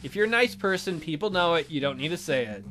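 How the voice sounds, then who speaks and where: A let's play host narrates a visual novel in a bright, animated voice. The reading is broken by wry, teasing asides, with music underneath. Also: medium pitch, fast. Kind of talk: story narration with wry running commentary.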